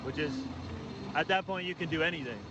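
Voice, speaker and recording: A man with a slightly high voice interviews people casually on the street. He is conversational and agreeable. Loud vehicle and engine noise runs through the whole outdoor recording. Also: fast, dull audio. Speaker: livestreamer interviewing protesters.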